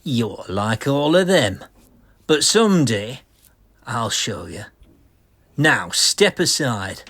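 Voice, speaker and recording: Husky, slow, deluded artist played by a man. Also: medium pitch, sing-song, fairly bright tone, full character voice. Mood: bitter, sullen. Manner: melodramatic, resentful. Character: deluded, bitter, arrogant male artist going mad. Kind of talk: vintage horror comic audio drama acting.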